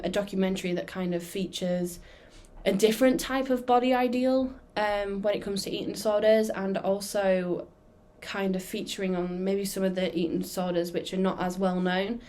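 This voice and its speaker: A woman's voice: medium pitch, a little mellow. A twenty-one-year-old woman introducing her documentary in a casual, chatty way, with frequent "um" fillers. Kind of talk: casual self-introduction to camera.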